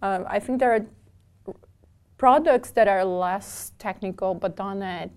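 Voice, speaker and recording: A woman's voice: mid-range voice, a little slow, darkish tone, smooth. A woman, a guest in a casual interview who often restarts her sentences and laughs easily. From southern Brazil. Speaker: interview guest, documentation developer.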